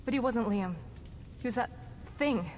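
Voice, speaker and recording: A woman playing an earnest, sympathetic ally in a horror video game, speaking in a serious, measured, sincere way. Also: fairly high pitch, expressive, darkish tone, slight background noise, close to an everyday voice. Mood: shaken. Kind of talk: dramatic video game acting. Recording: long reverb, music behind voice.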